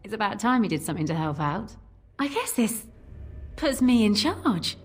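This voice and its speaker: An actress playing a wry, down-to-earth village barmaid in a video game, plain-spoken. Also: female voice, mid-range voice, sing-song, moderate pace, breathy edge. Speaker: game character, tavern worker. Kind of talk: scripted video game dialogue.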